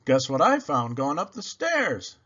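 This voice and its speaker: A man's voice: fairly high pitch, wildly swooping pitch, a little slow, bright, forceful tone, lo-fi sound, exaggerated voice. A man voicing a cocky, street-smart boy with a brash manner in a children's storybook. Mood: cheeky. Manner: brash, snappy.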